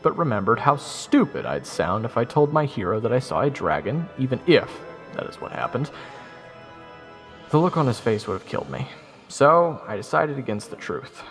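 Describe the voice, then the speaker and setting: A man's voice: fairly low pitch, very animated, moderate pace, fairly bright tone. A man playing an eager but nervous young soldier who narrates his fantasy adventure with wry self-deprecation. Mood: wry narrating. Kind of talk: first-person fantasy audio drama narration. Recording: orchestral game music behind.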